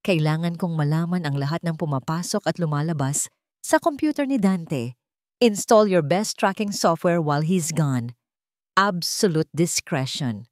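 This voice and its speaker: A woman's voice: sits low, animated, measured pace, no room sound, studio quality. Woman voicing a controlled, commanding Filipino businessman. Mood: firm, secretive. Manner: controlled, authoritative. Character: calm, commanding wealthy businessman hiding suspicion of his employee.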